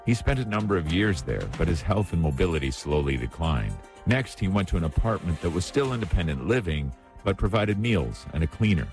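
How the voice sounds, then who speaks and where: A man hosting a spiritual radio show, hoarse and raspy after neck surgery, telling a personal story gently and unhurriedly. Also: deep voice, very animated, a little fast, hardly any pauses, music in the background. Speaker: radio host and deacon. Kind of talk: reflective spiritual radio talk.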